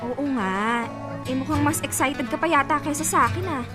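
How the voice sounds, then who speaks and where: Woman doing a bashful young woman in love, high voice, giggly scolding, music playing under. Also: normal speed, close to an everyday voice. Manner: bashful, laughs at teasing, scolds playfully. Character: shy young woman in love, easily flustered. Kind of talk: radio drama acting. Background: background music.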